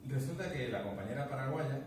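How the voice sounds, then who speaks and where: A man's voice: mid-range voice, a little fast. Man telling stories in an echoey room. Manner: animated, acts out dialogue, many restarts. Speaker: guest speaker. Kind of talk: casual conversational storytelling. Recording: echoey room, distant mic, background noise.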